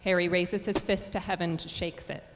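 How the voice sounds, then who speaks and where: A woman's voice: on the low side, steady tone, moderate pace, slight background noise. Woman narrator in a reverberant hall. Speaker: narrator reading stage directions. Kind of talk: scripted narration at a staged reading. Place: performing arts center stage. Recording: reverberant theater hall, music behind.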